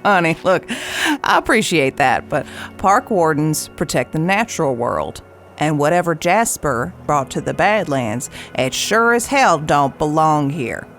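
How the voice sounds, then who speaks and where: Drawling warden, tough, folksy, low voice, faint music underneath. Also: female voice, sing-song, unhurried, fairly bright tone, full character voice. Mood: fierce. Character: tough folksy older park warden woman. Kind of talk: audio drama acting.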